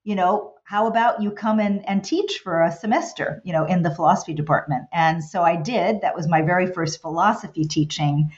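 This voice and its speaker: A woman's voice: fairly low pitch, a little fast, few pauses. A middle-aged woman whose first language is Hungarian, answering interview questions thoughtfully with many restarts and fillers. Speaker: guest, college president. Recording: dull, lo-fi recording.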